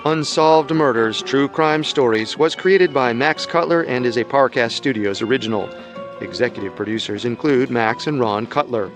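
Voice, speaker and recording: A man hosts a podcast with measured, announcer-like scripted narration. His voice sits in an ordinary middle register, with faint music playing underneath. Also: mid-range voice. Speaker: podcast host.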